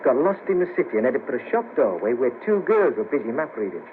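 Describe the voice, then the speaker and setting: A man's voice: mid-range voice, sing-song, fast talker, narrow, tinny audio, close to an everyday voice. An actor playing a world-weary, scheming ex band manager, down on his luck, in a colloquial 1970s radio drama. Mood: weary, reminiscing. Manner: wry, persuasive, colloquial. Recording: patchy noisy cassette of 1970s radio broadcast.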